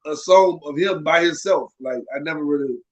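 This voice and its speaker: A man's voice: fairly high pitch, fairly quick. A man co-hosting a podcast with a stuffed-up nose from a cold, talking casually and animatedly, often repeating himself. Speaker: podcast co-host. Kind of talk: casual chat.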